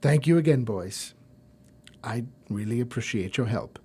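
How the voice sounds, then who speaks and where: A man's voice: mid-range voice, animated, measured pace, dark tone, breathy edge. A man playing an easily shocked, earnest university professor in an audio drama, speaking dramatically. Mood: subdued, earnest.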